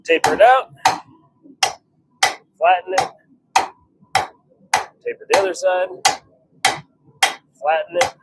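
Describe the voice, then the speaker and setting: Higher-voiced man musing over very noisy workshop sound. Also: animated, slow pace, long pauses. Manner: musing, talking to himself in short fragments. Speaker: blacksmith hosting a workshop livestream. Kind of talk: casual commentary while working. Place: home forge workshop. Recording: very noisy workshop with clicks and clanks.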